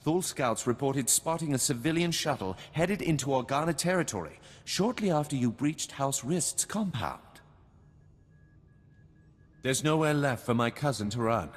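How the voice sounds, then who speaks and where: A man's voice: medium pitch, big-room sound, natural voice. A man playing a proud, irate, scheming noble baron in a video game, with a haughty, commanding manner.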